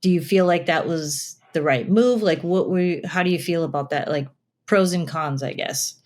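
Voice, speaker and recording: Female host, slightly low voice, chatty and loose, lots of "like" fillers. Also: normal speed, darkish tone. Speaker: podcast host. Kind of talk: casual interview questions.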